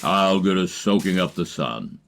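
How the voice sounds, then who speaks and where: A man playing a laconic, easygoing, dry-humored backwoods survivor in an audio drama, speaking tersely and deadpan. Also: low voice, a little slow, vocal fry, slight background noise, heavily acted. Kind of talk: amateur audio drama acting.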